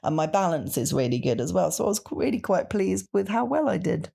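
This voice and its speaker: A woman chats as a podcast co-host. Her voice sits a little low for a woman, and she tells everyday stories casually, with plenty of emphasis. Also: expressive, fairly quick, gravelly, dark tone, husky. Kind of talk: casual chat, personal anecdotes.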